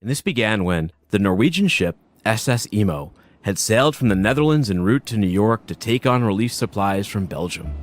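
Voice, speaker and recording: A man giving a steady, measured documentary-style narration. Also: on the low side, a little hiss or hum.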